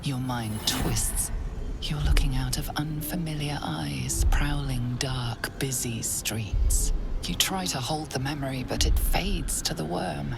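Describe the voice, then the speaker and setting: An ominous woman narrator for a dark fantasy game. Her voice is extremely low and creaky, hushed and very deliberate. Loud music swells behind her. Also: heavily acted. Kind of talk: second-person game narration.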